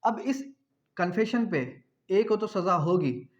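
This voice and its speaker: A man's voice: high-pitched, takes it slowly, lo-fi sound. A man with a Pakistani accent giving a law lecture, explaining didactically with repetition, asking questions and answering them himself, and dictating definitions.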